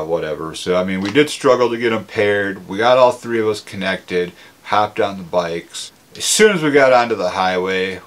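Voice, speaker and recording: A man's voice: sits low, fairly bright tone. A man gives a casual, conversational, plain-spoken product review. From Minnesota, United States. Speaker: motorcycle gear reviewer.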